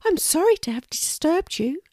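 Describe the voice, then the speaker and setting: Storybook squirrel, young female, played by a woman: small high voice, shy and earnest, lilting delivery, dry close sound. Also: very animated, moderate pace, darkish tone, dry recording. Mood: apologetic. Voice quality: little, thin voice. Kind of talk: children's storybook character voice.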